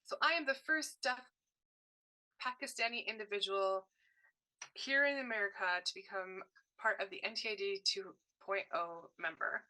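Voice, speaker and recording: A woman's voice: mid-range voice, normal speed, bright, forceful tone, poor audio. A woman voicing a deaf presenter's signed workshop talk in short, broken phrases, often correcting herself mid-sentence. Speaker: sign language voice interpreter.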